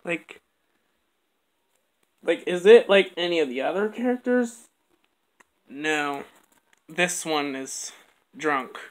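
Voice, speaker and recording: A home video maker talking through plush toys in a quiet, halting, mumbled way. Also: medium pitch, animated, low-quality recording. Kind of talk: plush toy skit with puppet dialogue. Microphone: camera on a bedroom floor.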